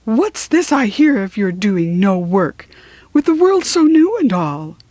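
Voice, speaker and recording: A bossy desert djinn from a children's storybook, acted out by a woman. The pitch leaps about in an animated way, while the words come slowly and emphatically, as if laying down the law. The sound is dry. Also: no echo. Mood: stern, coaxing. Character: magical desert djinn, brisk bossy scolding master. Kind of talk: children's storybook character reading.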